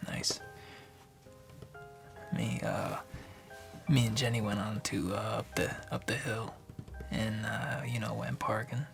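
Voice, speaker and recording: A man's voice: fairly even intonation, slow, long pauses, music in the background. A man playing a friendly, nosy bartender in an ASMR noir radio drama, talking in a hesitant, chatty half-whisper full of uhs. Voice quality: gravelly low whisper.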